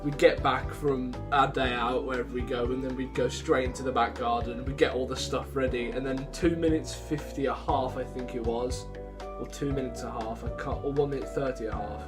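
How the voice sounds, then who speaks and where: A man's voice: mid-range voice, steady tone, brisk. A young man chatting casually to viewers as a vlogger, rambling and interrupting himself. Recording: music behind the voice.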